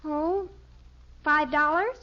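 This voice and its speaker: An American actress playing a pert teenage daughter, easily exasperated, in a 1950s radio sitcom. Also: female voice, sits high, fairly even intonation, slow, bright, forceful tone, exaggerated voice. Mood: eager, casual. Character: teenage daughter, pert and scornful of her little brother. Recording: muffled, faintly noisy 1950s radio recording.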